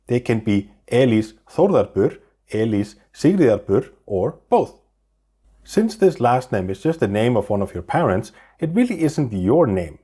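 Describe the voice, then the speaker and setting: Icelandic man explaining, lively and wry. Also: medium pitch, breathy edge. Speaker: video host. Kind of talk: scripted explainer narration.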